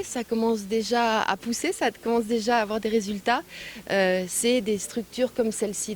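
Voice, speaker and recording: A woman speaking as an official at a public event, phrasing deliberately with frequent hesitant pauses, recorded on location. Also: mid-range voice, a little mellow, slight background noise. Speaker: European Union official giving a speech and interview. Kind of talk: public speech and press remarks.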